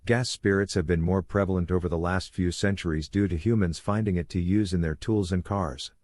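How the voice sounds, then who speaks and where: A man voicing a calm, authoritative werewolf pack leader who lectures in a measured, instructive way for a fantasy audiobook. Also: deep voice, no room sound, studio quality, voice pushed down. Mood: patient authoritative.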